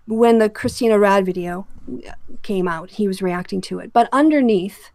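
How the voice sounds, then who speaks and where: A woman hosting an online discussion, speaking casually and haltingly as she comments, often correcting herself. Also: medium pitch, a little mellow, no echo. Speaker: host of an online discussion channel.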